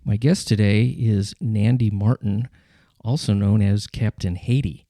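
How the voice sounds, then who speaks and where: Low-voiced male podcast host. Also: steady tone, a little slow, dark tone, no echo, clean studio sound. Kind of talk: podcast intro and interviewing.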